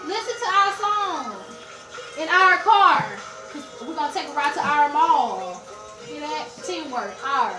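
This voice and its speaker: A woman's voice: high voice, animated, measured pace. A young woman chatting casually and playfully, often repeating herself and slipping into sing-song. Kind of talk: casual playful chat with bits of singing. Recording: roomy echo with music behind. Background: music.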